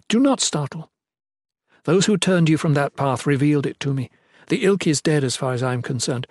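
Man voicing a desperate young queen, pitched high. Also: husky, dry recording, clean studio sound.

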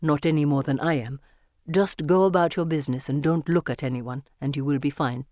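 A woman's voice: low-pitched, fairly quick, mellow, no room sound, clean studio sound, voice pushed down, close to an everyday voice. A woman voicing a guarded, streetwise and protective young man in an audiobook, terse and assured. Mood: cool, assured.

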